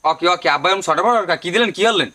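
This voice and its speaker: A man's voice: sits high, expressive, very fast, bright, forceful tone, full character voice. A man playing a questioning client of a village healer in an amateur comedy drama. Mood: inquisitive. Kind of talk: hobby comedy drama acting.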